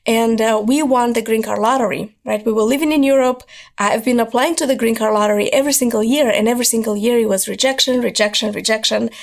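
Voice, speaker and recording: A woman, telling her life story as a podcast guest, hoarse from losing her voice and talking in an earnest, driven way. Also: a little high, quick pace. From Moldova. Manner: earnest, driven storytelling, repeats words for emphasis.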